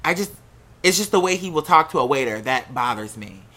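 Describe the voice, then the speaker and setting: An American podcast host telling a personal story casually, in an animated, candid and profanity-laced way. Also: medium pitch, brisk. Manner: acts out quoted remarks while telling.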